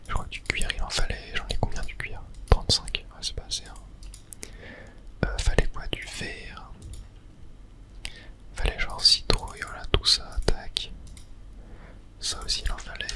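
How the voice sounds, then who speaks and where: A person whispering softly through casual ASMR video game commentary, murmuring play-by-play with little filler words. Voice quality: soft unvoiced whisper. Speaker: gaming ASMR creator.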